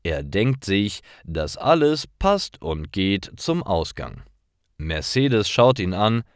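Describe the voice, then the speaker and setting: Low-voiced, slow-paced male storyteller. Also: very animated, no echo, clean studio sound. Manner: careful, deliberate reading. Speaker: narrator of stories for language learners. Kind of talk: scripted storytelling narration for learners.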